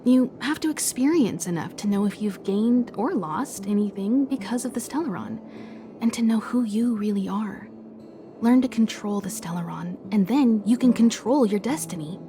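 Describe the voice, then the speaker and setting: A woman playing a composed, encouraging mentor in a video game, speaking in a gentle, reflective and reassuring way. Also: mid-range voice, lively intonation, normal speed, a little hiss or hum, natural voice. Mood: gently encouraging. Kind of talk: dramatic video game dialogue. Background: background music.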